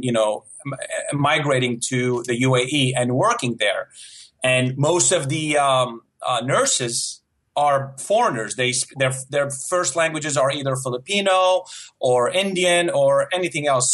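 A man's voice: medium pitch, fairly bright tone. A man from Syria whose first language is Arabic, a guest in a casual interview who is modest, laughs often and restarts his sentences. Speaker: guest animator.

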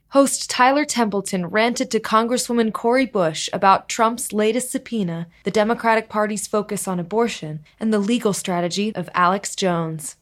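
Female promo announcer, scripted read, medium pitch.

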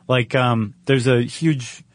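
A man's voice: mid-range voice, fairly even intonation, slow, no echo. An American man co-hosting a podcast, explaining things in an informal, conversational way. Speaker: podcast co-host.

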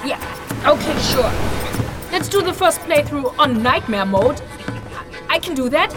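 A woman's voice: high-pitched, expressive, measured pace. A woman playing a quirky young human sidekick in a fantasy audio drama, chatty, playful and slangy. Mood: wry. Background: loud music.

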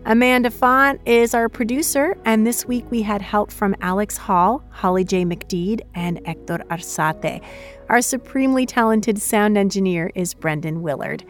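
A woman's voice: medium pitch, moderate pace, faint music behind. A woman hosting a radio magazine, reading scripted announcements. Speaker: radio program host. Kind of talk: scripted show credits.

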